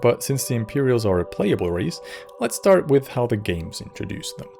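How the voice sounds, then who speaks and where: A man giving scripted narration for a game lore video in a measured, slightly wry way. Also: medium pitch, sing-song, gravelly, mellow, soft background music.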